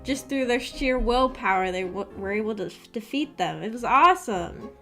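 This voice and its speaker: A woman's voice: on the high side, expressive, normal speed, a bit bright, faint music behind. A woman streamer chatting casually and enthusiastically with her viewers, with informal phrasing full of "like". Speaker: streamer hosting a visual novel book club. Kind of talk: casual livestream chat with viewers.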